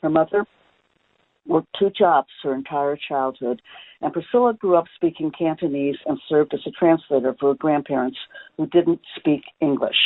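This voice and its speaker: A man presenting slides in a webinar in an explanatory manner, pausing often with "uh". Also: fairly high pitch, no echo. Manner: explanatory, with frequent "uh" fillers. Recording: tinny phone-line webinar audio.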